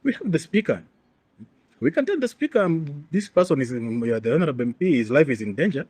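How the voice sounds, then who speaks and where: A man with a Kenyan accent gives an expert interview in a dry, close recording. His pitch sits in the higher-middle range of ordinary male talk, and he explains his points firmly and emphatically. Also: sing-song, fast talker, a little mellow, breathy edge, dry recording. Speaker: interview guest, security and bodyguard expert.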